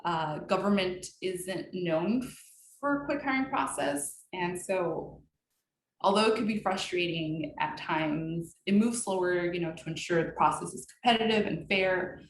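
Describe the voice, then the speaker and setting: Woman, lowish voice, roomy echo, faint background hum, measured advice. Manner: measured, with many ums. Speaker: guest speaker. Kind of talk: advice presentation. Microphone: video call.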